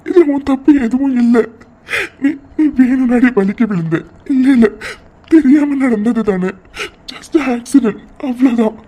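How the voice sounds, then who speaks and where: Woman playing high-pitched, tearful, pleading young husband. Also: warm tone. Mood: anxious, reassuring. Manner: pleading, halting, repeats words, tearful. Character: anxious young husband tenderly reassuring his distraught wife. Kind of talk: emotional audio drama acting. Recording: faint background noise.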